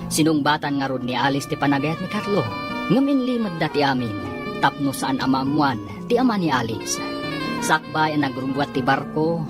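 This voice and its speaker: A woman narrating and announcing a radio drama in a theatrical, deliberate scripted style. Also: low voice. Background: music.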